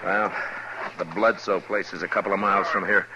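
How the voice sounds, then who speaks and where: A man's voice: sits low, flat delivery, fairly quick, very noisy, natural voice. An actor playing a stern, weary Old West lawman in a 1950s radio western, terse and commanding. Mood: easygoing. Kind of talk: 1950s radio western acting with first-person narration. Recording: dull 1950s radio recording, music behind.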